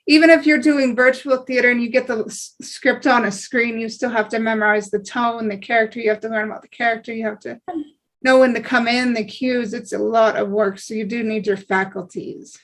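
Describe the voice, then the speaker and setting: A woman who acts in theater, talking passionately in quick run-on bursts during a casual panel discussion over a video call. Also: on the high side, steady tone, low-quality recording. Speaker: theater actor in a table read.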